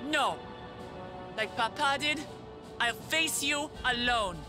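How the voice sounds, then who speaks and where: An actress playing a brave, earnest young princess and protective sister in a fantasy video game, resolute and heartfelt. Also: female voice, high-pitched, slow, music in the background.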